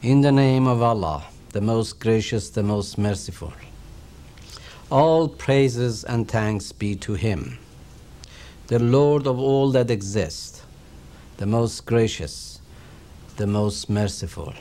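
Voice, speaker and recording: A middle-aged man with a Persian accent teaches and explains scripture in a slow, measured, reverent manner. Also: fairly low pitch, lively intonation, dark tone. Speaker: Quran teacher. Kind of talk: recorded religious lecture.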